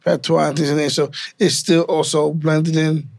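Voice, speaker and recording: A man's voice: a little high, little pitch movement, moderate pace, gravelly, darkish tone, no echo. A man from New York with Guyanese family, a dancer telling his story in easygoing, loose casual chat. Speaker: dancer, guest.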